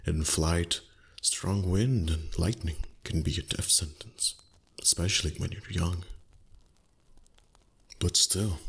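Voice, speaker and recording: A man voicing a proud, greedy, centuries-old dragon in an ASMR roleplay, speaking in a husky, breathy voice with slow, deliberate, haughty delivery that savours the words. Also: low voice, exaggerated voice.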